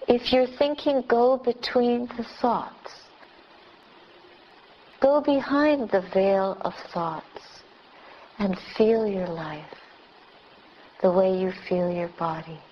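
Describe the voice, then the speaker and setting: Female meditation teacher, very slow delivery in short spaced phrases, captured on a muffled recording with noticeable background noise. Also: mid-range voice. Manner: unhurried short phrases with long pauses. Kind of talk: guided meditation.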